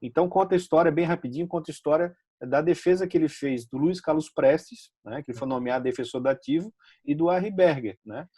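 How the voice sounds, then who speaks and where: A Brazilian man giving recommendations on a talk show, halting and repeating words as he speaks. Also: on the high side, warm tone, breathy edge, no echo. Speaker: regular guest giving tips. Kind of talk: casual discussion show.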